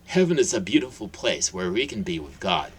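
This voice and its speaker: A man voicing a big, friendly bearded man who speaks brightly and emphatically. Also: low voice, very animated, fairly quick, husky, full character voice. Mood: earnest.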